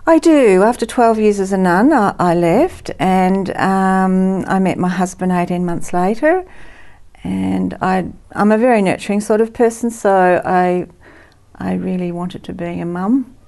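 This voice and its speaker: A woman, a guest in a TV studio interview, talking warmly and reflectively with frequent pauses. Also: mid-range voice, vocal fry. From Netherlands, raised in Australia. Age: 60s. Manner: warm, reflective, frequent ums and soft laughs.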